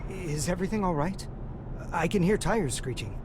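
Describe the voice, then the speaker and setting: A man's voice: high-pitched, animated, moderate pace. An actor playing a polite, composed man in an anime game, formal and courteous, over vehicle noise. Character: polite, mild-mannered, composed man, a hitchhiking passenger. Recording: clear vehicle noise behind.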